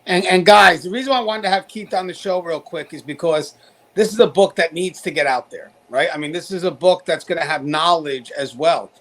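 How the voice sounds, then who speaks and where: A man with a high-pitched voice hosts a talk show. He speaks straight to his viewers in a conversational, emphatic style, urging them along. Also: fairly quick, fairly bright tone. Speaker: show host, corrections professional.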